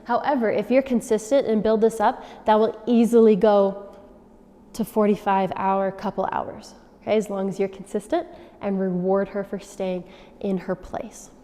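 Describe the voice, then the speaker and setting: A woman dog trainer calmly explaining and demonstrating commands step by step. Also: mid-range voice, normal speed. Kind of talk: instructional demonstration. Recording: long reverb from a large room.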